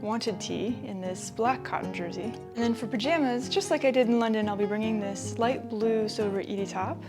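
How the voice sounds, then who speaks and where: An American woman in her thirties from the East Coast, chatting casually and excitedly to viewers as a vlogger. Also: on the high side, moderate pace, over music. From Connecticut, US East Coast. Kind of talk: casual vlog talk to viewers.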